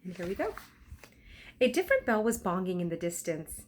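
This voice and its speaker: A woman's voice: on the low side, sing-song, brisk, slight background noise. A woman narrating a children's book aloud expressively, enunciating for young listeners. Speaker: storybook reader.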